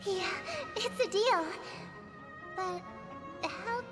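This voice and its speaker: A woman's voice: extremely high pitch, very slow, heavily acted. A woman voicing a sweet, hopeful little girl in a video game, with an innocent, eager, childlike manner. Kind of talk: scripted video game drama. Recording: loud music behind the voice. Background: music.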